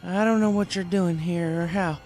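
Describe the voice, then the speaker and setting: A fiercely stubborn female farm pony from a story, acted by a male narrator. The voice is pushed higher and sounds firm, protective and threatening, as if standing up to a foe. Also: steady tone, normal speed, a little mellow, soft background music, rough recording. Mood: defiant fear.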